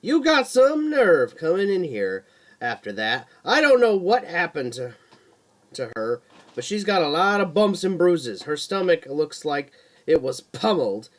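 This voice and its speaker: A man's voice: a little high, very animated, a little slow, pitched above the performer's own voice. A man voicing an indignant, insistent older female nurse in a story, scolding and questioning. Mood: indignant disbelief.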